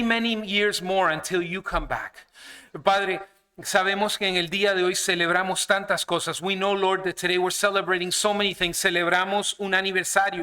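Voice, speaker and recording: High, bright-voiced bilingual guest preacher. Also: male voice. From Canada. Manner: alternates each phrase between two languages, earnest. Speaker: guest pastor. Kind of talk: bilingual sermon and prayer. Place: church sanctuary.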